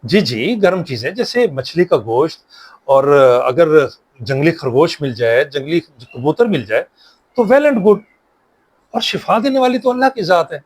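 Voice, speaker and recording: A man's voice: a little high, animated, unhurried. A man playing an earnest, reassuring, pious family doctor who mixes in English words, in a moral short drama. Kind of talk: Urdu moral short-film acting.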